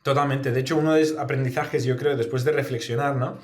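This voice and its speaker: Male interview guest, Spain accent, mid-pitched, reflective and explanatory, roomy echo on the recording. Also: steady tone, quick pace, a little mellow. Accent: Spanish from Spain. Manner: reflective, explanatory, often adds tag questions. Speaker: guest, startup founder. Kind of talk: conversational interview answers. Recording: echoey, reverberant room sound.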